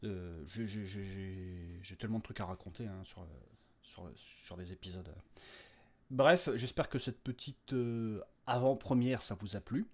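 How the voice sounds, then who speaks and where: Male creator-host, lowish voice, informal and hesitant, rambling updates straight to his listeners. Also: a little slow. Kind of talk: casual, unscripted chat to listeners.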